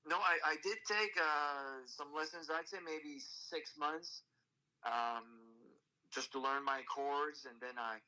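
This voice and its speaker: French-speaking guy, forties, super bright voice, lots of uh and restarts. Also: fairly high pitch, rough recording. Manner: halting, many restarts and fillers. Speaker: country singer guest. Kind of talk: casual interview chat.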